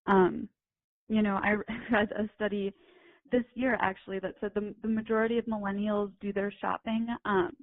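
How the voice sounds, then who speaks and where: A young woman speaking at an ordinary pitch as an interview guest, laying out her points steadily with small ums. Her voice is dry and close, and it is squeezed into a narrow, phone-like band. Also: flat delivery, no room sound. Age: young adult. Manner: measured, explanatory, with ums. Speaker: guest, retail writer and editor. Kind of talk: casual interview chat.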